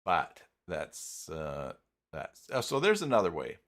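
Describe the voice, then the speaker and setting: A man hosting a casual live software tutorial, talking haltingly and muttering to himself as he works through things on screen. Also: sits low, wildly swooping pitch. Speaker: live stream host, software tutorial presenter. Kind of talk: casual unscripted screen-share walkthrough.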